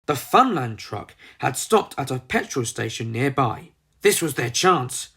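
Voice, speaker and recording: Brief: children's storybook narrator, medium pitch with wide swings, dramatic reading. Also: big pitch swings, measured pace, gravelly, sharp tone, breathy edge.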